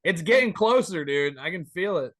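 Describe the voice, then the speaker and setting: A man in his thirties joining a podcast over a remote call as a guest, talking in an animated, rambling way and swearing freely. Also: sits high, normal speed, no room sound. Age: 30s. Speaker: band member guest. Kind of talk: casual interview chat.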